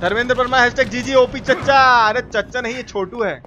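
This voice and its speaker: A man with an eastern Indian Hindi accent giving casual, excitable, slangy gameplay commentary as a live-streamer over game sound. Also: very high voice, brisk, muffled recording. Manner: excitable, crude slangy exclamations. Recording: noisy game sound behind voice. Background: horror game sound.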